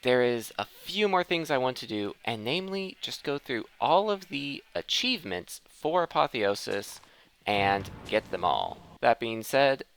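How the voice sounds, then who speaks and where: A man's voice: medium pitch, sing-song, a little slow, fairly bright tone, smooth. A man giving casual gameplay commentary, rambling and thinking aloud as he plays. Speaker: gaming video host.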